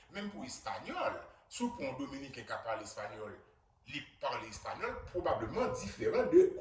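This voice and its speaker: A man with a Haitian accent, a doctor giving an earnest, emphatic motivational video message to his community. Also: on the high side. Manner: earnest and emphatic, rising loudly on key points. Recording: roomy echo, distant mic, faint background noise.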